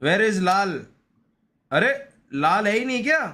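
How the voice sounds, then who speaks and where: A man's voice: high voice, lively intonation, slow pace, bright, forceful tone. A man giving casual, slangy live gaming commentary, often repeating short pleading phrases. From India. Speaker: gaming streamer.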